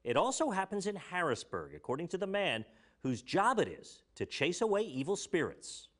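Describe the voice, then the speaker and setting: Animated, bright-voiced American male news reporter. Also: sits high, normal speed, no echo, clean studio sound. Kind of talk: scripted feature news narration.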